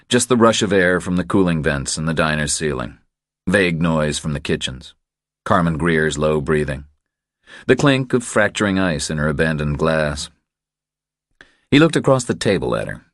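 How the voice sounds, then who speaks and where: Male narrator for thriller audiobook: extremely low voice, measured, deadpan, terse, very dry close sound. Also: no room sound.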